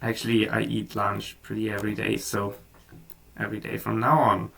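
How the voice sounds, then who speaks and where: A German man with a gravelly, creaky voice walks through a screen-recorded software tutorial in a relaxed, unscripted way, full of ums and small restarts. He sits a bit back from the microphone, so his voice has some distance to it. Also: fairly low pitch, moderate pace, slight background noise.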